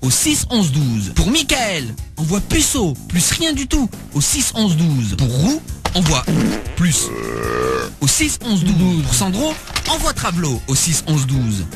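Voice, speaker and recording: A man's voice: a little high, wildly swooping pitch, slow. A man reading a parody radio advert in an exaggerated, salesman-like manner. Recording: music under the voice.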